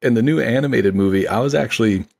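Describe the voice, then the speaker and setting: A man's voice: medium pitch, fast talker, creaky, a little mellow, husky. A man chatting on a podcast about movies, enthusiastic and rambling with lots of "like.". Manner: enthusiastic, rambling, many fillers like "like". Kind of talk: casual movie discussion.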